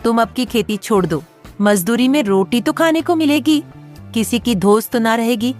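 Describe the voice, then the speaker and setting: Figure: a woman as a fretful farmer's wife. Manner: complaining. Pitch: high. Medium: animated story with music. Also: normal speed, natural voice. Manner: complaining, worried.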